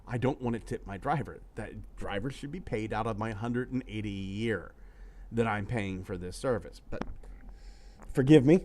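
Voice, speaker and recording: Grumbling middle-aged podcast host. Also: male voice, fairly low pitch, moderate pace, a little hiss or hum. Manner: grumbling, profane, animated storyteller. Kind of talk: casual podcast chat.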